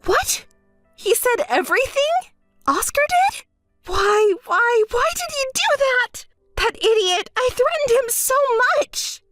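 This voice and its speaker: Lady voicing a bratty entitled young wife, squeaky and all over the place, faint music under. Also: animated, a little slow, fairly bright tone, dry recording, heavily acted. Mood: hysterical. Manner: whiny, demanding, shrieking. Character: spoiled, entitled young woman, shrill and unstable. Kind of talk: dramatized story voice acting.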